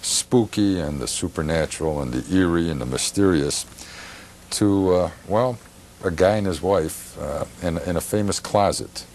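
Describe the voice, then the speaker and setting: A man hosting a casual studio interview, speaking haltingly and agreeably. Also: sits low, darkish tone, a little hiss or hum. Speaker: TV show host. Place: television studio.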